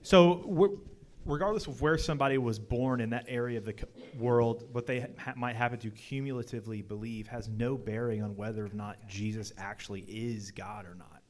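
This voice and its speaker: Earnest mid-pitched man answering in debate. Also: fairly quick.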